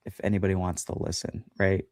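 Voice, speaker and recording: A man's voice: sits low, level tone, quick pace, darkish tone, no echo, studio quality. A man chatting as a guest on a livestream podcast, talking loosely with lots of fillers and self-deprecating asides. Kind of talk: casual chat on a livestream.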